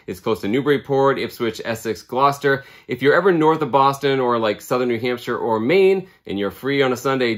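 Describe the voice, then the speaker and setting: A man's voice: mid-range voice, a bit bright. Man in his thirties, chatty upbeat host, casual show-and-tell from a small room, close to the camera. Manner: chatty, upbeat, lots of fillers. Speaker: comic book channel host. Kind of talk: casual video blog, showing items. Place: small room lined with comic books. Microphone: camera at close range.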